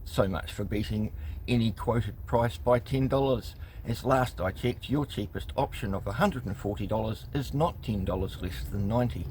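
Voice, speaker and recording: A man's voice: lowish voice, normal speed, darkish tone, slight background noise, rough recording. A man, telling a customer complaint story in a wry, exasperated way and reading emails aloud with lots of ums. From New Zealand. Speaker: consumer rights vlogger.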